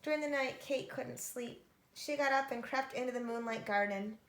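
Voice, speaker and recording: A woman reading a children's story aloud with expressive narration. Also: fairly high pitch, moderate pace, slight background noise. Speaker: storybook reader. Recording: echoey room.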